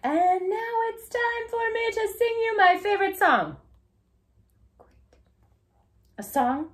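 A woman's voice: extremely high pitch, expressive, slow. A woman in her thirties reading a children's book aloud as a storytime host, with a theatrical, exaggerated silly delivery. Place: small living room, indoors on a sofa.

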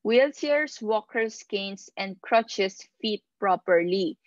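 A woman instructor giving a slide lecture over a video call, reading out points and explaining them haltingly, pausing mid-phrase. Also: mid-range voice, animated, no room sound, lo-fi sound. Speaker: instructor of nursing assistant course.

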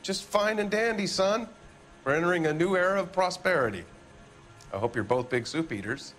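An American actor playing a defensive, evasive middle-aged factory owner in a video game, with an indignant, blustering manner. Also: male voice, high voice, animated, moderate pace, fairly bright tone, faint music behind. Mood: smug.

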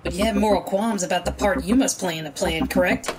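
A woman's voice: lowish voice, fast talker, a loud crowd, close to an everyday voice. A woman playing a tough, cool bar owner and vigilante in a comic-book dub, curt and unimpressed. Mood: cool, firm.

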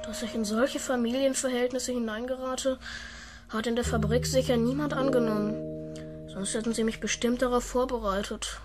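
A woman's voice: a little high, fairly even intonation, moderate pace, over loud music, close to an everyday voice. A woman playing a polite, naive, precocious little boy who talks formally and earnestly in a children's radio play.

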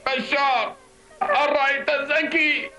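An anxious cartoon insect dad with an unusually high male voice. He sounds remorseful and plaintive, with music clearly playing behind him. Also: fairly even intonation, slow, sharp tone, full character voice, low-quality recording. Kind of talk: dubbed cartoon acting.

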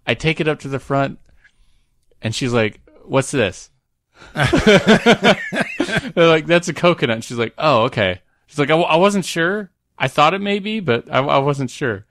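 A man's voice: fairly high pitch, lively intonation, measured pace, fairly bright tone, no room sound, clean studio sound. A man co-hosting a podcast, chatting casually and telling rambling anecdotes in a jokey way. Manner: jokey, prone to chuckling. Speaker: podcast co-host.

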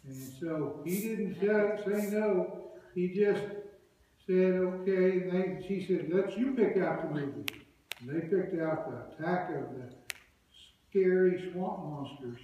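A man's voice: high voice, takes it slowly, dark tone. A middle-aged man in costume telling a folksy story from a church stage, chuckling as he talks. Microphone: far room mic. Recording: echoey sanctuary, far, dull.

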